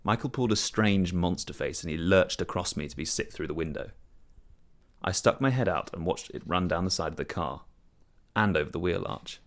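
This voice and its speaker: A man narrates a short story in the first person, reading from a script. His voice is very low, with lively movement in pitch. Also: fairly quick.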